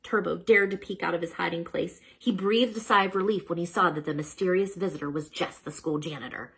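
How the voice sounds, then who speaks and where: A woman reading a children's storybook aloud as a storyteller, dramatic and expressive, with chatty asides to the listeners. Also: lowish voice, fairly quick, gravelly, husky.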